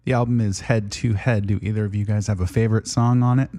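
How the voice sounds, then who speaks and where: Mellow-voiced host asking brief questions. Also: mid-range voice, fairly even intonation, quick pace. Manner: brief prompts and affirmations. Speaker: interviewer, show host. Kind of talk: casual interview questions.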